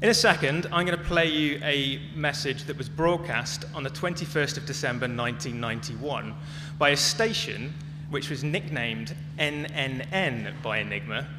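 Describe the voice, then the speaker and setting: A man giving a prepared talk as a conference speaker, phrasing it in short, measured, emphatic chunks. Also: mid-range voice, over music. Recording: long echoey reverb, clearly noisy with hum.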